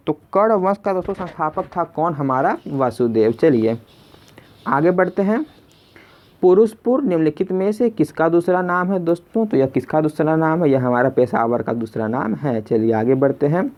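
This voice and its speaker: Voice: medium pitch, a little mellow. A tutor narrating a question-and-answer exam lesson, repeating questions and addressing listeners as friends. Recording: dull, lo-fi sound.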